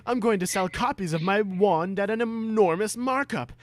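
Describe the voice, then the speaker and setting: A man's voice: sits high, moderate pace, no room sound, pitched above the performer's own voice, natural voice. A man voicing an earnest, pompous schoolboy who lectures with great self-assurance in a dramatic reading. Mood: defiant, lecturing. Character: earnest, pompous schoolboy free-market zealot.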